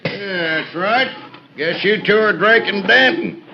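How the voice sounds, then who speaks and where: A man's voice: high voice, a little slow, slight background noise. An actor playing a surly, defensive small-town American man in an old radio mystery, speaking curtly. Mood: curt, guarded. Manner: curt, defensive. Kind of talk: 1940s radio acting. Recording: dull vintage radio recording.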